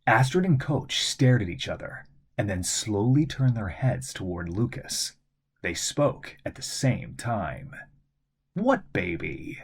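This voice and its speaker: A man reading a children's adventure novel aloud as an expressive, theatrical narrator. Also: fairly low pitch, measured pace, slightly rough. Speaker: audiobook narrator, voice actor.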